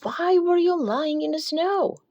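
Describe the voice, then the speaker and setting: A woman's voice: extremely high pitch, a little slow, a little mellow, dry recording, dull audio, pitched above the performer's own voice. A woman voicing a kindly, practical male squirrel from a storybook, grave and caring. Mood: concerned. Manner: grave, matter-of-fact, caring.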